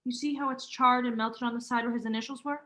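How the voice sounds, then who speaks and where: A woman's voice: a little high, fairly even intonation, fast talker, sharp tone. A student actress playing a frightened, suspicious young woman in an urgent, melodramatic vintage radio mystery style. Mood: urgent, accusing. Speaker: student actor.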